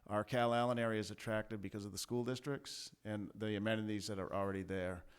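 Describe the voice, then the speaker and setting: A man from city staff briefing a city council, measured and full of small pauses and restarts. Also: lowish voice, flat delivery, smooth. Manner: measured, many fillers and restarts. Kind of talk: formal briefing. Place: council chamber.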